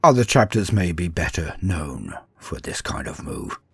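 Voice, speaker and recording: A man voicing a proud, battle-hungry space marine in a sci-fi war story, with a husky voice and a haughty, grandiose manner. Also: low voice, big pitch swings, measured pace, no echo, voice pushed down, exaggerated voice. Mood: scornful, proud. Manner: haughty, scornful, grandiose, dramatic. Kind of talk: first-person dramatic sci-fi war storytelling.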